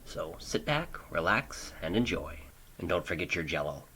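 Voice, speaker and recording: A man with a very low, bright and forceful voice hosts a radio program. He speaks in a casual but prepared presenter's style, introducing segments from a home studio. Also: sing-song, moderate pace, slight background noise, rough recording. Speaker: radio show host. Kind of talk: casual scripted radio hosting.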